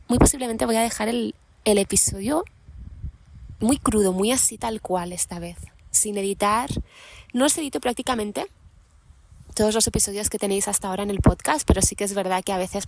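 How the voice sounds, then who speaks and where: A young woman hosting a podcast, musing aloud in an unscripted monologue while sitting outdoors in a mountain meadow, thoughtful and unhurried with many pauses and restarts. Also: mid-range voice, gravelly, a little hiss or hum. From Catalonia, Spain. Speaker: podcast host.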